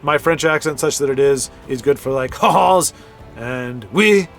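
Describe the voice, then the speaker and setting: A man's voice: mid-range voice, unhurried, soft background music. A man giving casual play-by-play commentary on card game matches, rambling into chatty asides and correcting himself as he goes.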